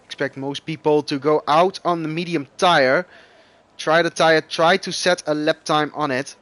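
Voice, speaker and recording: A man whose first language is Dutch, commentating live on an online racing game with excitable bursts. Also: fairly high pitch, moderate pace, no echo. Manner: excitable, rising loud bursts at crashes. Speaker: esports race commentator.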